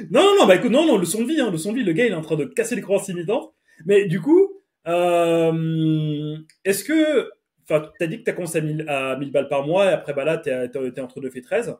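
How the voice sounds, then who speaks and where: A man's voice: a little high, animated, moderate pace. A man in his thirties hosting a podcast, chatty and slangy, often laughing. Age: 30s. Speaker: podcast host. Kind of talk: casual podcast chat.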